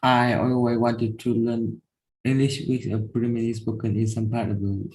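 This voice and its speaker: A man's voice: mid-range voice, flat delivery, moderate pace, darkish tone, low-quality recording. Hesitant man answering in class. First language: Vietnamese. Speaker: student.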